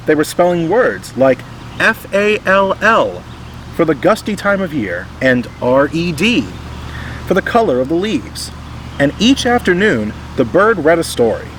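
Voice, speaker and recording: A man reading a children's picture book aloud in expressive scripted narration. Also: fairly high pitch, slight background noise, poor audio. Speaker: storybook reader.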